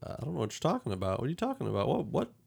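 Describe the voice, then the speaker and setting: A man's voice: medium pitch, wildly swooping pitch, rapid-fire, creaky, a little mellow, husky. A man hosting a podcast, chatting casually about films and thinking aloud as he goes. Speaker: podcast host. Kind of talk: casual film review chat.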